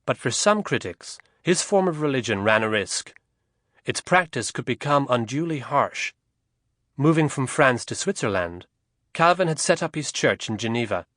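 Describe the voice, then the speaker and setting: A man narrating a historical novel as an audiobook, in a measured, expressive storytelling manner. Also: mid-range voice, dry recording, clean studio sound.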